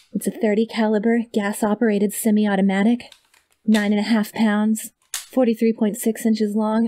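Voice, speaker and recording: A woman's voice: mid-range voice, darkish tone, close to an everyday voice. A young woman playing a sweet, awkward, obsessive kidnapper who feigns innocence in a chatty, rambling ASMR roleplay told straight to the listener. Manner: chatty, rambling, flustered self-denials, hesitant filler words. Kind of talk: ASMR roleplay, one-sided comedic monologue to the listener. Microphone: close studio mic, dry.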